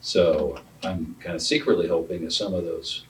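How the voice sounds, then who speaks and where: Deep-voiced man hosting a panel. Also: steady tone, moderate pace. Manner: chatty, explains with laughs and asides. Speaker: interviewer, founder of the arts organization. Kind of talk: panel interview at an art center. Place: art center gallery room. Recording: distant mic with faint room noise.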